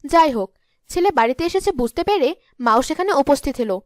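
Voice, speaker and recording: A woman's voice: sits high, animated, a little fast, no room sound, studio quality. A woman narrating a scripted cartoon story as the storyteller.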